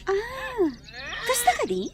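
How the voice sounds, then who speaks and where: Actress as sad, grateful young woman, radio-drama style; high, swooping voice, clear background noise with chirping. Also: animated, slow pace, exaggerated voice. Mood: curious. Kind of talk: Ilocano audio drama acting. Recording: noisy track with bird chirps.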